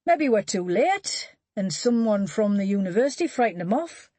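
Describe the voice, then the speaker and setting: A woman voicing a brisk, practical male detective who speaks matter-of-factly. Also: medium pitch, big pitch swings, dark tone, no echo, voice pushed up.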